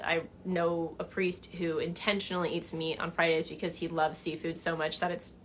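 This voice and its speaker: A young woman answering viewer questions in a casual livestream chat from her living room couch, animated and chatty. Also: fairly low pitch, a little hiss or hum. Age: young adult. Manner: chatty and animated, gestures a lot, laughs while telling stories. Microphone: phone camera at arm's length.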